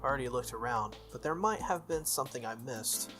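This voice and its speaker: A reader acts out a teenage schoolgirl mage from a visual novel, confident and teasing. She gives orders in a brisk, knowing way, with music underneath. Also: mid-range voice, animated, natural voice. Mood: brisk, bossy. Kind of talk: visual novel dialogue read aloud.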